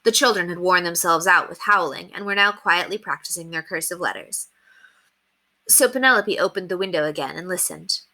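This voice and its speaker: A woman reading a children's book aloud as an expressive storyteller. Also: on the low side, fairly quick.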